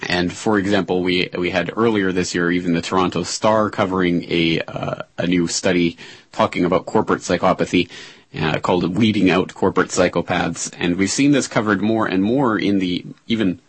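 Deep, husky-voiced male host. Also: steady tone, no room sound. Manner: measured, with frequent "uh" fillers. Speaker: interview show host. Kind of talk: talk show monologue and interview.

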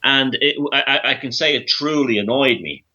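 A man in his fifties with a Dublin Irish accent, a podcast guest telling stories in an animated way with stumbles and restarts. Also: medium pitch, normal speed. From Ireland. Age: 50s. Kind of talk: conversational interview. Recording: dull lo-fi remote line.

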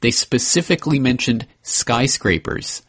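A man hosting a podcast, reading a memoir excerpt aloud in an earnest, measured way with the odd slip and restart. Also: mid-range voice, animated, fairly bright tone, breathy edge.

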